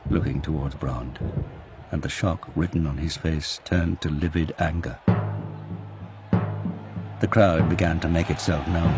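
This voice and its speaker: A man narrates an audio drama in a low-pitched voice. His reading is grave and deliberate, with dramatic intensity and clear music playing behind him. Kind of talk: dramatic scripted fiction narration.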